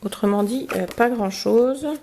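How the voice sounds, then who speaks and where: A woman's voice: fairly high pitch, unhurried, mellow, slight background noise. Chatty woman with a stuffy cold. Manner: chatty, hesitant, many fillers, reads product cards aloud. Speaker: beauty box reviewer. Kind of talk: casual unboxing review.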